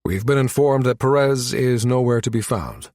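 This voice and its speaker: A man's voice: medium pitch, normal speed, a little mellow, dry recording, studio quality, natural voice. A man voicing a composed male colonel, polite yet firm, in a science fiction audiobook. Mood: smooth, firm. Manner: smooth, polite but authoritative.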